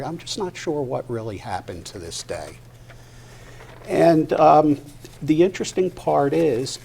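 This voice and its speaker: Older man speaking slowly, haltingly. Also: mid-range voice, a little mellow. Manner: halting, deliberate, with pauses mid-sentence. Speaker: guest speaker at a patient discussion event. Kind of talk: personal testimony to an audience. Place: live discussion event before an audience. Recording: noticeable background noise, live event.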